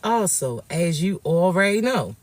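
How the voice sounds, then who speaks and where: Raspy guy, high voice, dry close sound, slow soft tarot-style talk. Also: sing-song, no room sound. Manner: soft, drawn-out, affectionate address to viewers. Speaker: spiritual reader and coach. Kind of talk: livestream reading for viewers.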